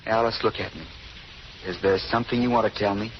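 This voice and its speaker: A man's voice: lowish voice, steady tone, a little fast, natural voice. A man playing an earnest, devoted lover who speaks plainly and directly in 1930s radio drama. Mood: insistent. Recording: dull, hissy old radio recording.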